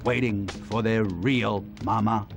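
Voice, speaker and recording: A man voicing a dry, teasing male animal who tells a story in an animated game, wry and sardonic. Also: on the low side, slow pace, music in the background.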